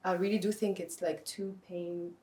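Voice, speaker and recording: A thoughtful woman talks on a podcast in a fairly low voice, softly feeling her way toward each idea. She hesitates and restarts as she reaches for the right words. Her voice carries a roomy echo. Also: level tone, normal speed. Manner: thoughtful, soft, searching for words. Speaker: art advisor, podcast guest. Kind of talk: casual podcast conversation. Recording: roomy echoey room sound.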